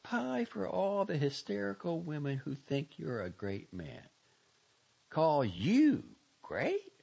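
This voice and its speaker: A man's voice: mid-range voice, creaky, slightly rough, dull audio, voice pushed up, full character voice. A man voicing a furious, fiery young woman in a storybook, scolding scornfully in slangy bursts. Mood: bitter, scornful.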